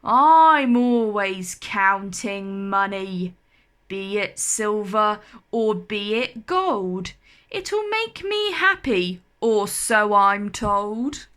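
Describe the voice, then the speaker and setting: An enormous, greedy storybook giant man, acted out by a woman, gleefully chanting in a booming sing-song. The delivery is slow and drawn out, with a bright, forceful edge. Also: sing-song, clear, resonant tone, heavily acted.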